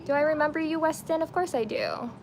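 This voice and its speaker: A woman's voice: sits high, fast talker, creaky, heavy background noise. A woman in her late twenties chatting casually and informally to viewers on a get-ready-with-me livestream, using slangy language. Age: twenty-nine. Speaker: beauty and lifestyle live streamer.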